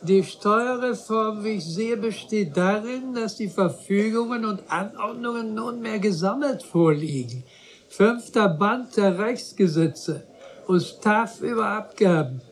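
Pompous, high-voiced, creaky provincial gentleman. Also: expressive, slight background noise. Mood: dry, officious. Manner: effusive, rhetorical, with drawn-out pauses. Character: pompous, chatty provincial gentleman at a society gathering. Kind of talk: German radio drama acting.

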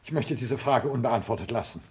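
An actor playing a stiff, fervent private secretary in a 1960s German radio play, speaking formally and declaiming with precision. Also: male voice, medium pitch, a little fast, crowd noise, lightly acted. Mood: stiff, tense, deferential. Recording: noisy vintage radio recording.

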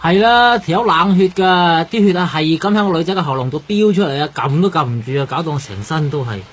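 A man acting in a radio drama as a flustered, excitable police officer, speaking colloquial Cantonese with a Hong Kong accent. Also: fairly high pitch, fast talker, breathy edge. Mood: agitated. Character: flustered male police officer at a crime scene. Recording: radio drama with background noise.

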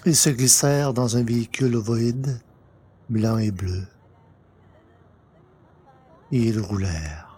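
A man narrating a literary story in French audio fiction, deliberately, pausing between short phrases. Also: medium pitch, dark tone, breathy edge.

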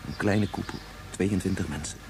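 An actor playing an anguished, regretful scientist of great age in a Dutch science fiction radio play, speaking haltingly and turning back on his own words. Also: deep voice, vocal fry, background noise. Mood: brooding, regretful. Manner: halting, repetitive, ruminative. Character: anguished 177-year-old scientist, brooding, regretful father.

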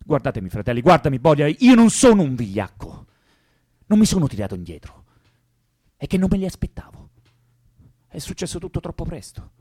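An actor in a radio drama playing an anguished young revolutionary poet, fervent and pleading, with a husky voice. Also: male voice, on the high side, sing-song, brisk, long pauses, darkish tone, no room sound, studio quality. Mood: pleading, defiant. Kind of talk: theatrical radio drama acting.

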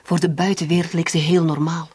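Anguished lovelorn lady in a radio play, really breathy, intense, close dry sound. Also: lowish voice, little pitch movement, brisk, a little mellow, dry recording. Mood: haunted. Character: anguished woman secretly in love with her brother-in-law. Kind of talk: radio drama acting.

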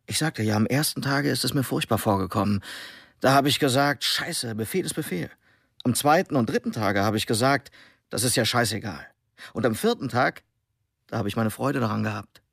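An actor playing a coarse German soldier in captivity, talking bluntly about brutality. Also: male voice, mid-range voice, moderate pace, creaky, fairly bright tone, husky, dry recording, studio quality. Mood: boastful.

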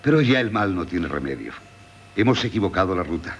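Casting: gently ironic gentleman tutor, low Castilian voice with wide melodic swings, courteous old radio-play delivery. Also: sing-song, highs cut off, a little hiss or hum. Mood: wry, philosophical. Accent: Castilian Spanish. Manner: measured, polite, theatrical. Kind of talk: 1970s Spanish radio drama acting.

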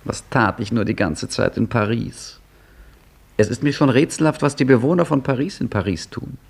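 A man's voice: medium pitch, expressive, normal speed, vocal fry, husky. An actor playing an earnest Egyptian man searching for Allah's hundredth name in a 1950s radio drama, insistent in dialogue and wry as he narrates.

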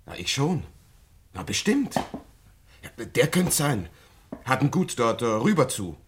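An actor playing a plain-spoken, helpful country local in a German radio play, talking in short colloquial phrases. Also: male voice, mid-range voice, very animated, takes it slowly, slight background noise. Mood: helpful, emphatic.

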